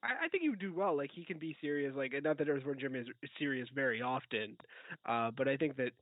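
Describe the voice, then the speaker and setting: A man's voice: mid-range voice, animated, fast talker, dry recording. A man co-hosting a podcast in casual movie chat over a video call, informal and tentative in his delivery. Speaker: podcast co-host. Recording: thin phone-like video call audio.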